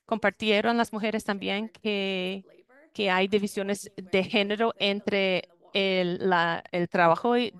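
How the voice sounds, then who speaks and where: A woman interprets a talk live, carrying each point across in a steady, fluent flow. Her voice comes through a video call microphone. Its tone is exceptionally clean and smooth. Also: medium pitch, takes it slowly. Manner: steady, fluent rendering with brief restarts. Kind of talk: live consecutive interpretation into Spanish.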